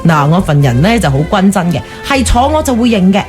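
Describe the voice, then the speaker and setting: Woman acting gossipy pushy sister-in-law in a radio drama, very emphatic, music clearly behind her. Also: medium pitch, sing-song, brisk, close to an everyday voice. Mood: effusive, frank. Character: chatty, forthright sister-in-law, effusive and pushy.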